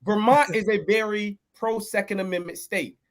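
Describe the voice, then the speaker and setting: A young man running for office, chatting casually about politics in an animated, slangy way. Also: sits high, normal speed. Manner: animated, slangy, drops into mock dialogue. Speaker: political candidate.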